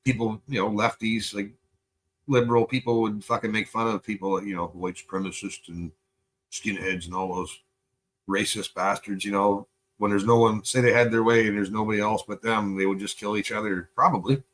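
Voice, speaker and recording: A man from Canada streaming live, chatting loosely and profanely with lots of fillers, and he is high. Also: little pitch movement, normal speed, fairly bright tone, a little hiss or hum, low-quality recording. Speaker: live streamer reacting to videos. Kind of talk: casual rambling stream chat.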